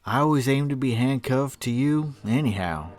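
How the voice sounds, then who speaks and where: Man playing an ardent, animated cowboy sweetheart. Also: mid-range voice, normal speed, no echo, close to an everyday voice. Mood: lovestruck, pleading. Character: proud, smooth-talking cowboy sweetheart accused of rustling. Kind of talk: comic-book Western radio drama.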